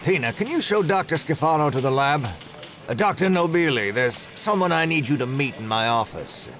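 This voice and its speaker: A commanding corporate boss. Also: male voice, on the high side, lively intonation, normal speed, fairly bright tone, soft background music. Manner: controlling, insistent. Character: smooth commanding male pharma executive. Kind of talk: audio drama acting.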